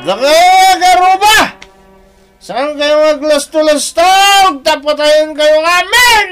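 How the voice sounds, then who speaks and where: An actor playing a rich, bullying husband who shouts threats at his neighbors in an Ilocano radio drama. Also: very high-pitched, expressive, slow pace, over music, full character voice. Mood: furious, threatening. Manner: belligerent, shouting.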